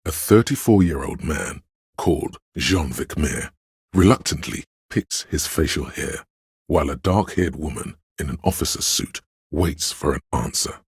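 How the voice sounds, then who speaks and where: A man playing a solemn, all-knowing inner-voice narrator in a video game, with a gravelly, husky voice and a measured, literary delivery. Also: very deep voice, no room sound, clean studio sound, exaggerated voice. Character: omniscient, solemn inner-voice narrator of police camaraderie. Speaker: voice actor narrating a character's inner voice.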